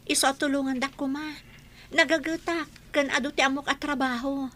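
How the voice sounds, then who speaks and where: Comedy radio drama: shy, respectful young female cleaner, played by a woman, high, bright tone. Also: fairly even intonation, normal speed. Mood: pleading, shy. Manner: timid, deferential. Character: meek, polite young working-student cleaning woman.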